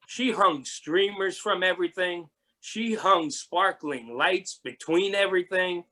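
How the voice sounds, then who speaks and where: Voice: mid-range voice, sharp tone. A man narrating a children's storybook aloud as a readers theatre performer. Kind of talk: storybook reading.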